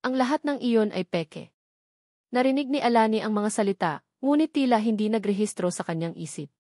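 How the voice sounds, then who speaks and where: A woman's voice: a little high, lively intonation, brisk, warm tone, no echo, clean studio sound. A woman reading a scripted love story as a dramatic narrator.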